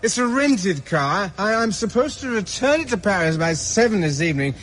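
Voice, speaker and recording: Clear vehicle noise runs behind a harried English fugitive in a radio thriller. He has a high British voice with lively swings, bluffing politely and hesitantly. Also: normal speed. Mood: flustered pleading. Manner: hesitant, polite, muttering to himself. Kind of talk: radio drama acting.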